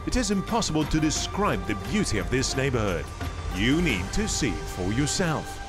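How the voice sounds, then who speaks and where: A man reading scripted documentary narration in a lively storytelling way. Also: mid-range voice, moderate pace. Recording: voice-over with music behind.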